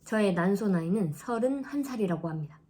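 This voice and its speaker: A woman in her thirties chatting casually in a vlog, laughing often and dropping into soft asides. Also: medium pitch, lively intonation, fairly quick. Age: 30s. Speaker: vlogger.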